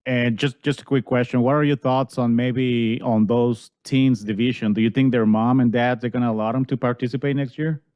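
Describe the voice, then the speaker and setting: A man's voice: mid-range voice, fairly even intonation, normal speed, hardly any pauses, a little mellow, clear, resonant tone, dull audio. A man with a mid-range voice hosts a casual podcast. He chats in a friendly way, laughs often, and repeats his words as he goes. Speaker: podcast host. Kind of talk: casual chat.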